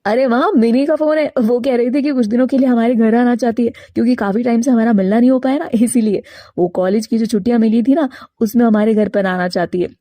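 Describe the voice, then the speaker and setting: A woman plays a young married male crow in an animated moral tale. He is carefree but defiant, quick to brush off complaints. The voice is mellow and recorded very dry. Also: fairly high pitch, no room sound, natural voice. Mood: cheerful, casual. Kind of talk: Hindi animated moral story character.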